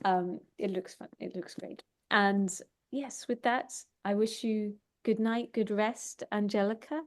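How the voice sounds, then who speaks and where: A woman's voice: mid-range voice, steady tone, a little slow. Hesitant woman moderating a discussion. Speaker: host and moderator of an online talk. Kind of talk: thoughtful informal moderating.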